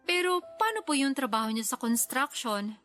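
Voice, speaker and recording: A woman's voice: high voice, big pitch swings, normal speed, a bit bright, faint music behind. A woman playing a respectful, ambitious daughter in her twenties, polite and eager, in a radio drama. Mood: eager, determined. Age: young adult. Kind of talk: Tagalog radio drama acting.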